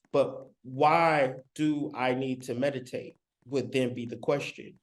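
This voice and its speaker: A man giving a live-streamed spiritual lecture. He talks in an emphatic, repetitive, colloquial way, straight to his viewers, on a laptop mic. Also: a little high. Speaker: spiritual teacher.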